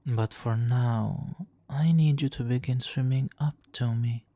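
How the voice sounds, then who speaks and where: A man's voice: mid-range voice, a little slow, warm tone, dry recording. A man playing a suave, manipulative supervillain in an ASMR roleplay, silky and theatrically polite, smugly doting and sometimes slipping into whispers. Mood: soothing, hypnotic. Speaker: audio roleplay performer. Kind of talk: ASMR roleplay, hypnotic countdown.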